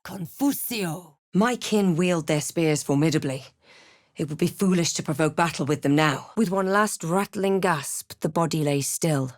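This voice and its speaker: Measured fantasy adventurer heroine, dry close recording. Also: female voice, low-pitched, no echo. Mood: forceful incantation, then wry, watchful. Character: adventurer heroine, wry, guarded, narrating her journey. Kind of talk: scripted fantasy game voice lines and narration.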